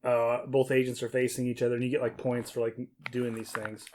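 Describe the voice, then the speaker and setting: A man's voice: mid-range voice, flat delivery, a little fast, a little mellow, slight background noise. A man co-hosting a podcast, chatting casually and explaining things off the cuff. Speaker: podcast co-host. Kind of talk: casual unscripted banter and game explanation.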